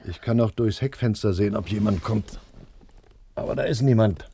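A determined man, low and muted. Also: moderate pace, creaky, dark tone, slight background noise. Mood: impatient. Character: determined, rational adult son, militant and argumentative. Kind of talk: radio play dialogue.

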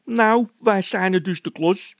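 A hot-headed, scornful workman from a comic storybook, acted out by a man. The voice sits very high and pushes out hard in indignant, scolding bursts. It sounds close and dry. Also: unhurried, no room sound. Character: hot-tempered, mocking workman with a scolding streak. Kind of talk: character voice in a read-aloud comic story.

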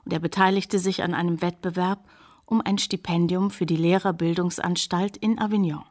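Narrator role: actress as literary woman storyteller, lowish pitch, measured scripted delivery for radio drama. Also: steady tone, a little mellow, lightly acted. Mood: measured, reflective. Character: female family narrator recounting a naturalist's youth.

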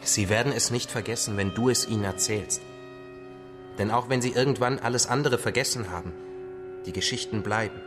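An actor playing a patient, solemn father-like survivor who tells stories gently and with measured phrasing in a radio drama. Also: fairly low pitch, over music, lightly acted. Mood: solemn, urging. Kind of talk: radio drama acting, storytelling.

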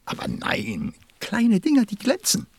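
An actor playing a busy, self-important middle-aged businessman in a radio play, with a gravelly, husky voice and a testy, muttering manner. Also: sits high, wildly swooping pitch, a little slow, a little mellow, no echo, full character voice. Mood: irritated, pompous. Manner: pompous, testy, muttering, swooping. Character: busy, self-important 54-year-old businessman counting stars.

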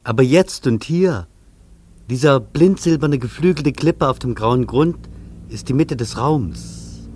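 A man's voice: medium pitch, lively intonation, takes it slowly, darkish tone. A man playing a world-weary, solemn flier who muses poetically in a literary radio play. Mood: solemn, awed. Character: world-weary male flier musing on the earth below. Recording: clearly noisy old radio play recording.

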